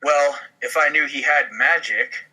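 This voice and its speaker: A man with a very bright, cutting voice, pitched a little high, reads a comedy script aloud. He is informal and wisecracking, slipping improvised asides between his lines. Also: unhurried, breathy edge, low-quality recording. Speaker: podcast cast member reading a script.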